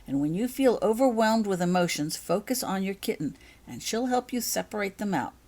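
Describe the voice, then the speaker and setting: A woman's voice: mid-range voice, animated, moderate pace, darkish tone. A woman voicing a kindly but firm school healer witch in a storybook reading, patiently instructing and encouraging.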